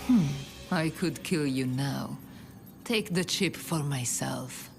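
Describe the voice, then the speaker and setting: An actress playing a proud, ruthless crime boss in a video game cutscene, with a cold, formal, commanding manner. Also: female voice, sits low, animated, slow, creaky, music in the background, exaggerated voice. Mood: cold menace. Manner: imperious, cold, formal, threatening. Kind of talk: video game cinematic voice acting.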